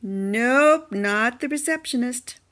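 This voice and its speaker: A woman's voice: fairly high pitch, lively intonation, slow pace, fairly bright tone. A woman playing a blunt, independent blue-collar plumber with a casual, dry manner in an audio drama. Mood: matter-of-fact.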